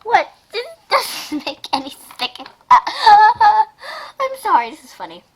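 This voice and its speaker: A woman with a high, very lively voice reads a story aloud in a casual way. She is giggly and exclamatory, often breaking off to throw in her own comments. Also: slow. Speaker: amateur reader reacting to fan fiction. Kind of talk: casual reaction read-aloud with asides.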